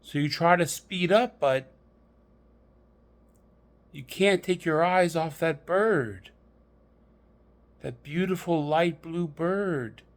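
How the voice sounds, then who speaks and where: A man narrating a guided meditation in a deliberate, measured storytelling manner with pauses. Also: a little high.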